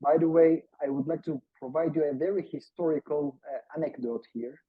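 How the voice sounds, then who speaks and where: A man's voice: fairly high pitch, fairly quick, slightly rough, dry recording. A man with a Turkish accent, a native Turkish speaker, giving an earnest seminar talk over a video call, hesitant and full of filler sounds. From Turkey. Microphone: video call microphone. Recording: dull, lo-fi video call audio.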